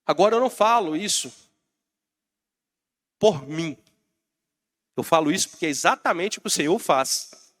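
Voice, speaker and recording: A man's voice: a little high, lively intonation, normal speed, long pauses, fairly bright tone. A Brazilian man preaching a sermon as pastor, rousing and calling out to his congregation, while he has a bad cold. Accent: Brazilian Portuguese.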